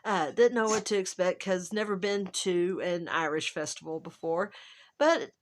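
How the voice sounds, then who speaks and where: A woman with a hoarse, gravelly voice that is still recovering, chatting casually and rambling to camera at home as a travel vlogger. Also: fairly low pitch, normal speed. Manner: rambling, chatty, self-interrupting. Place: at home, indoors.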